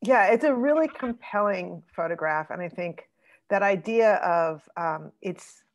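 A woman moderating an online group discussion over a video call, speaking thoughtfully with many pauses and fillers. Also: mid-range voice, expressive, muffled recording. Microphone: computer mic on a video call.

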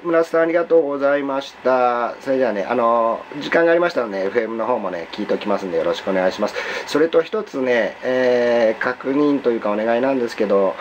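A man working as an amateur radio operator, speaking politely and procedurally as he exchanges call signs and reports. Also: medium pitch. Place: indoors at a home radio desk. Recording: dull lo-fi room recording with faint noise.

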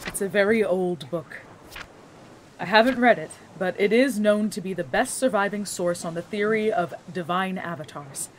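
An actress playing an earnest young scholar, speaking in formal, precise, methodical phrases for a fantasy audio drama. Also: female voice, mid-range voice, moderate pace, a little hiss or hum, natural voice, poor audio. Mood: intent. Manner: formal, precise, bookish wording.

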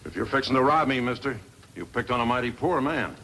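An actor playing a plain-spoken, wary frontier blacksmith in a 1950s radio western, with a folksy manner. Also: male voice, mid-range voice, expressive, fast talker, pushed and bright, breathy edge, heavily acted. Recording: noisy vintage 1950s radio recording.

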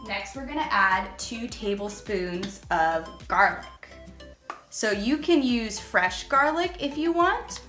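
A woman's voice: mid-range voice, expressive, slow pace, roomy sound, over music. A young woman in her home kitchen, walking children through a soup recipe step by step in a friendly, instructing way. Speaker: cooking teacher. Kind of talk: kids' cooking lesson.

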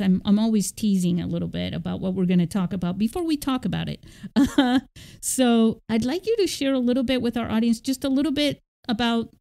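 A woman's voice: on the high side, creaky, mellow. A woman hosting a podcast interview, enthusiastic and often restarting her sentences. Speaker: podcast host. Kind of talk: casual interview chat.

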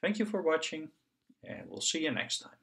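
A man hosting a story time, reading aloud to young children in an expressive, singsong way. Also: on the high side, normal speed, vocal fry. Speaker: children's story narrator and channel host.

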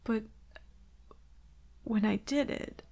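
Woman acting a slow, halting, anxious werewolf girlfriend. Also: on the high side, exaggerated voice. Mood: hurt, sorrowful. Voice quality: soft, breathy whispering. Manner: hesitant, halting, stammering, often whispering. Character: anxious, vulnerable werewolf girlfriend baring her fears. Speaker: ASMR roleplay performer. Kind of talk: ASMR roleplay, intimate monologue.

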